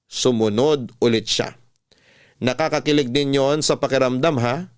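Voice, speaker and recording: A man delivers scripted first-person narration in a radio drama style. His voice sits in the middle range and is very clear and resonant. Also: medium pitch. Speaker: storyteller, narrator.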